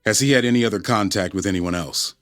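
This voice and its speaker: An actor playing a cold, menacing government agent in a radio drama, speaking in a formal, officious, measured way. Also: male voice, lowish voice, a bit bright, soft background music, natural voice. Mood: cold menace.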